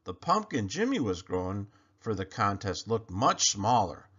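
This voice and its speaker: Very animated man reading a storybook. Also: lowish voice, a little slow, slightly rough, lo-fi sound. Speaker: storybook reader. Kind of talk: expressive children's read-aloud narration.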